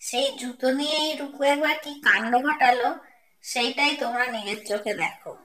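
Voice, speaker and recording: A woman's voice: high voice, moderate pace, crowd noise. A woman telling a scripted cartoon story as its narrator. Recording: noisy, roomy, distant microphone.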